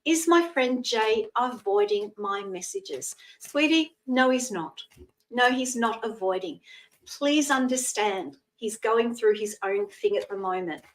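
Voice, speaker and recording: A Scottish woman in her sixties giving a live tarot reading, chatting in a gentle, reassuring way and using terms of endearment. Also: fairly high pitch, a little slow, distant mic, rough recording. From Scotland. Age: 60s. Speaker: psychic tarot reader.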